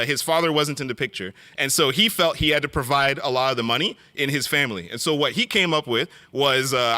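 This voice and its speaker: Middle-aged guy giving an awards speech, earnest, lots of uhs and restarts, bright forceful voice. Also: fairly high pitch. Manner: earnest, with frequent fillers and restarts. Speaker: speaker at an awards event. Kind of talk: storytelling speech.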